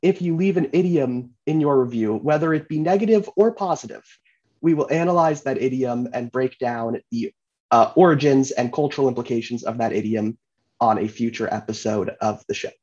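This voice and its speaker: A man hosting a podcast, talking in a relaxed, chatty way. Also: mid-range voice, moderate pace, highs cut off. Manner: relaxed, chatty, uses fillers. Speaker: podcast host. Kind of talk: casual podcast chat.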